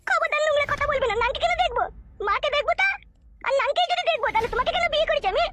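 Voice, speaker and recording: A woman's voice: very high-pitched, lively intonation, rapid-fire, fairly bright tone, dry recording. A woman voicing a stubborn, complaining village woman in a comic cartoon, arguing defiantly.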